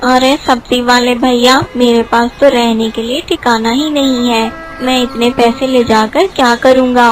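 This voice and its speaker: Woman as high-voiced naive young Mitthu. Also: fairly even intonation, measured pace, hardly any pauses, soft background music, natural voice. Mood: humble. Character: naive, good-natured young male vegetable hawker Mitthu.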